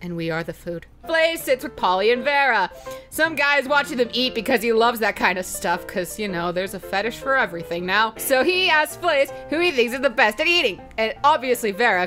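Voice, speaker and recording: A woman with a fairly high, very bright voice hosts a casual game recap. She is chatty and sweary, joking her way through the story. Music plays behind her. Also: animated, brisk. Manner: chatty, sweary, with playful mock voices for quotes. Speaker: let's play host.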